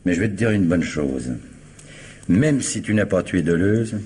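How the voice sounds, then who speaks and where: Methodical investigator, very low voice, deliberate and blunt, vintage radio crime drama, slightly noisy. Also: male voice, animated, a little mellow. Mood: firm, threatening. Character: methodical, doubting male police investigator, firm. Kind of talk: French 1950s radio crime drama acting.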